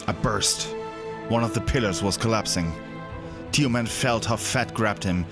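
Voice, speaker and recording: A man's voice: fairly low pitch, a little slow. A man reading scripted, dramatic narration for a science-fiction audio drama. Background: background music.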